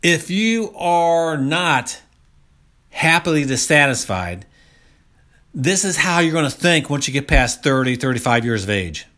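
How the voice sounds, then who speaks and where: A man's voice: a little high, very animated, measured pace, pushed and bright. A man of about sixty giving a motivational health talk, speaking emphatically and repeating key phrases for stress. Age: around 60.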